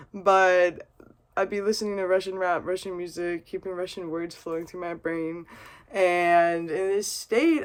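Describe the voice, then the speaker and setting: A woman's voice: medium pitch, smooth. A woman vlogging a rambling, earnest monologue after a drink, swearing and laughing often. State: has been drinking vodka. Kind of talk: casual reflective monologue.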